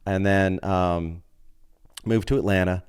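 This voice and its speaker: A man's voice: low voice, steady tone, takes it slowly, clean tone. A man, a podcast guest who chats casually and acts out the people he quotes while telling stories. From United States. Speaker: podcast guest, open mic organizer. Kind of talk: casual interview chat.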